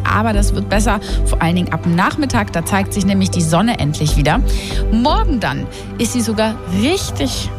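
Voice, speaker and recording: A woman presenting a chatty weather report on the radio. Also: mid-range voice. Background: music bed.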